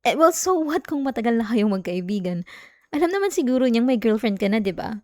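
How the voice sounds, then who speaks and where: Clingy, jealous girlfriend played by a woman, soft and mellow. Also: a little high, big pitch swings, a little fast, no echo. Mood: defensive, jealous. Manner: coaxing, whiny, with sighs and hesitations. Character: clingy, jealous young girlfriend, pleading and pouting. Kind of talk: intimate ASMR girlfriend roleplay. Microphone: close, dry microphone.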